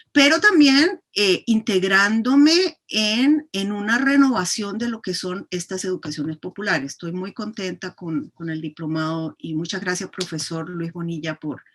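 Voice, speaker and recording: A middle-aged woman from Chile, introducing herself in an online class and pausing often with hesitant fillers as she talks. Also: medium pitch, fairly bright tone. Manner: thoughtful, many hesitations. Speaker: course participant.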